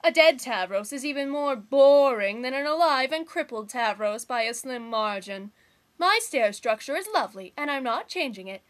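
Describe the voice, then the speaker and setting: A woman's voice: high voice, animated, normal speed. A woman voicing a bossy, impatient young troll girl with a cruel streak, theatrical and sarcastic, in an amateur fandub. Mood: scornful. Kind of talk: amateur fandub audition acting.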